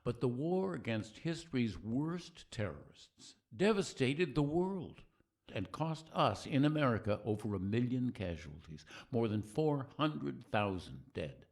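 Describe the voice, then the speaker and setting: A man playing an earnest, civic-minded narrator leading a scripted discussion, measured and rhetorical. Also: lowish voice, lively intonation, darkish tone. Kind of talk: scripted audio play, civic reading.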